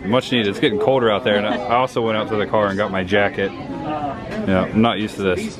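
A man's voice: on the low side, steady tone, fairly quick. A man from Indiana with an American accent, giving chatty, descriptive walking commentary as a casual vlog host. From Indiana, United States. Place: outdoors walking in a busy theme park. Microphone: handheld camera, operator behind it. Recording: noisy outdoor crowd, street ambience.

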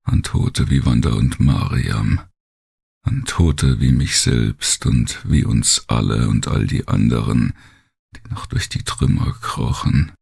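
A man narrating a first-person thriller audiobook in a gravelly, husky voice, with brooding, deliberate and dramatic phrasing. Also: rumbling low, a little mellow.

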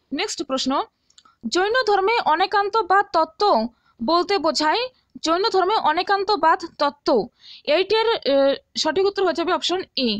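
A woman's voice: very high voice, fairly bright tone, no echo. A woman teaching as a tutor, reading out exam questions and answers and often checking that listeners follow.

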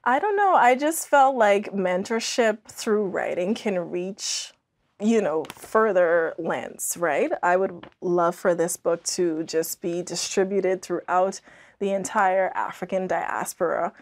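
A woman's voice: lowish voice, takes it slowly. A young woman from the Bahamas talking casually and reflectively as an interview guest, often saying "like" as she explains. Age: young adult. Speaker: podcast guest, author. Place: indoor podcast studio, seated in armchair.

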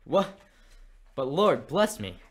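A flustered, polite gentleman. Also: on the high side, big pitch swings, slow, slightly rough, exaggerated voice. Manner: hesitant, flustered, formal.

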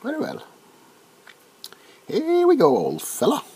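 A middle-aged man playing a nervous, bewildered, awkwardly polite doctor in a soft-spoken comedic ASMR roleplay, stammering and repeating himself. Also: sits high, huge pitch swings, unhurried, breathy edge, pitched above the performer's own voice, full character voice. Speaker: ASMR performer.

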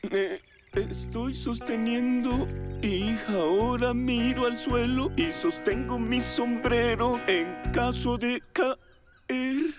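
Slow, nervous, goofy cartoon firefighter. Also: male voice, very high voice. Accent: Latin American. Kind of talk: animated children's cartoon dub. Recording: music behind the voice.

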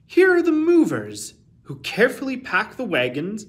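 A man, reading a children's picture book aloud with careful, expressive enunciation as a storytime host. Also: high-pitched, normal speed. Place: small indoor room with shelves.

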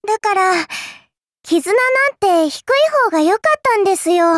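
A woman voicing a childlike, polite but quietly spiteful girl in a game, with a cutely teasing manner. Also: extremely high pitch, moderate pace, no echo, clean studio sound. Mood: bitter, aloof. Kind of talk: Japanese game character voice lines.

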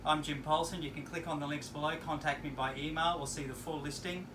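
A middle-aged man presenting a walkthrough tour aboard a moored sailing yacht as a yacht broker, in an upbeat, enthusiastic sales-pitch manner. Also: fairly even intonation, quick pace. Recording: distant mic with marina background noise.

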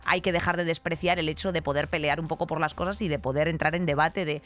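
A woman's voice: deep voice, fairly even intonation, fast, a bit bright. A woman in her thirties with a Spain accent, co-hosting a studio podcast with rambling, colloquial, blunt commentary. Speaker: podcast co-host. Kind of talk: conversational opinionated commentary. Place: indoor radio-style podcast studio. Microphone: studio microphone on desk stand.

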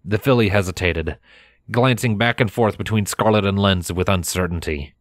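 A man reading scripted fiction as a narrator, measured and expressive in his storytelling. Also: low-pitched, no echo, studio quality. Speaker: narrator of a fan fiction audiobook. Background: music.

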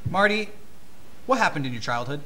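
A young American man with a fairly high, sharp, bright voice. He banters as a podcast host, blunt and deadpan, teasing whoever he talks to. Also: a little fast. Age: young adult. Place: indoor room with arcade cabinets.

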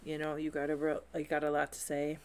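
A woman's voice: low-pitched, completely flat delivery, brisk, darkish tone, slight background noise. A middle-aged woman chatting as a music reaction vlogger in a small room at home, enthusiastic and rambling, often restarting her sentences and laughing. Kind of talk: casual reaction chat.

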